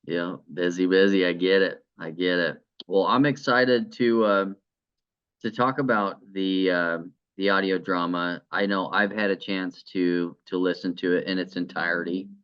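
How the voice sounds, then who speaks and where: A man's voice: low-pitched, measured pace, clear, resonant tone, dull audio. A man conducting a conversational interview in an encouraging manner.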